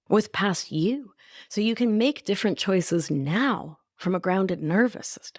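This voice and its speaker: A woman's voice: lowish voice, no echo, clean studio sound. Playful, affectionate woman podcast coach. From Argentina. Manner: playful, affectionate, laughs often. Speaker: life coach and podcast host. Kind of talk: solo podcast teaching.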